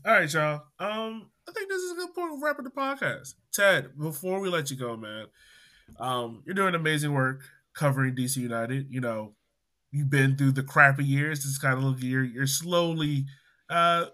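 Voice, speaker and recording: A man's voice: fairly high pitch, lively intonation, moderate pace, sharp tone. A man hosting a fan podcast, upbeat and joking as he wraps up the show. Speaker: podcast host. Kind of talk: casual podcast chat.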